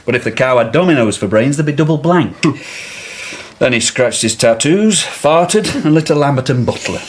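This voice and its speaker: An actor playing a sardonic male detective who reports in a deadpan way. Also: on the high side, moderate pace, a little hiss or hum, lightly acted. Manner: deadpan storytelling.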